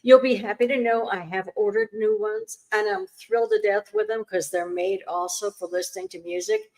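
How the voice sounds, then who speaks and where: A woman's voice: medium pitch, very animated, moderate pace, gravelly, a little mellow, poor audio. A woman co-hosting a casual livestream chat, adding friendly, talkative asides. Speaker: co-host.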